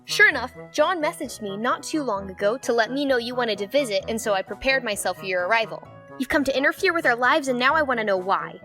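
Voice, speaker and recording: A high, girlish fan-dub voice, performed by a woman plays an earnest and suspicious teenager. She is bossy and lectures emphatically, with faint music in the background. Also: expressive, fast talker, pushed and bright. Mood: stern, anxious.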